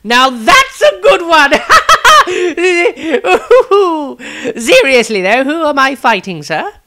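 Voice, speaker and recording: High-pitched, cowardly young wizard. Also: male voice, very animated, extremely slow, sharp tone, exaggerated voice. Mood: dismissive, amused. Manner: exclaiming, high-strung, theatrical. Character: cowardly, cocky, excitable male apprentice wizard. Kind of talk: fantasy audiobook character voice.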